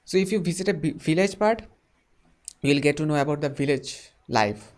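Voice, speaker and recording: A man's voice: a little high, expressive, brisk, a little mellow. A man with an Indian accent chatting on a video call, echoing words back and offering friendly advice. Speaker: channel host chatting with strangers. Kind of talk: casual video chat.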